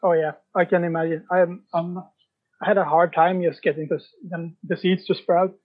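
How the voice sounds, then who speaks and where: A man chatting casually as an interview guest, halting and pausing mid-sentence. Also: high voice, little pitch movement, narrow, tinny audio. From Sweden. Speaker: podcast guest, writer.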